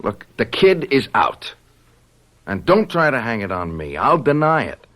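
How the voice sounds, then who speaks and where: An actor playing a callous young hip boyfriend in a radio drama, slangy, flippant and evasive. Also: male voice, mid-range voice, sing-song, measured pace, fairly bright tone. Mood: dismissive.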